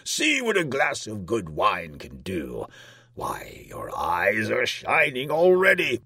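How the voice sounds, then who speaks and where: A man voicing a tyrannical, blustering king in a storybook reading, loud, commanding and cruel. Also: lowish voice, wildly swooping pitch, takes it slowly, vocal fry, husky, no echo, studio quality, pitched above the performer's own voice, exaggerated voice. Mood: gloating, boisterous.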